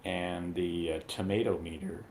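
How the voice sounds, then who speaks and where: A man's voice: low-pitched, steady tone, a little slow, gravelly, darkish tone, a little hiss or hum. A man chatting casually as a panelist in a movie review discussion.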